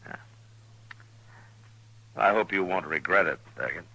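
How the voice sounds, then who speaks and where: Deep-voiced western marshal, old radio. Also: male voice, gravelly, sharp tone, breathy edge, heavily acted, poor audio. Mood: dry, steady. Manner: measured, probing, laconic. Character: steady, watchful middle-aged US marshal of the Old West. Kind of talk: old-time radio western drama, partly first-person narration. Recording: old radio recording with music.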